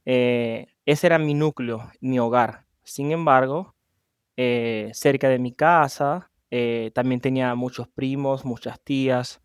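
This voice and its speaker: A man with a Venezuelan accent and a smooth, mid-pitched voice. He speaks as an interview guest in a personal, conversational storytelling style, recorded dry. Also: fairly even intonation, slow pace, no room sound. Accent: Venezuelan Spanish. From Maracaibo, Venezuela. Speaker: podcast guest.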